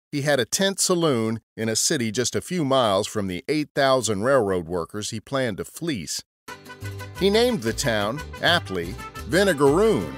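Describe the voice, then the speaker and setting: Male podcast narrator. Mid pitch, lively delivery, scripted historical storytelling, faint music. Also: no room sound, studio quality.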